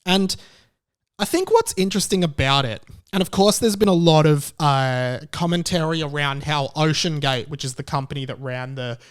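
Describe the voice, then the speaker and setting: A man with a fairly high-pitched voice hosts a podcast. He gives casual opinion commentary, talking in a loose, rambling, conversational way and leaning emphatically on his points. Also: normal speed, smooth. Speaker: podcast host.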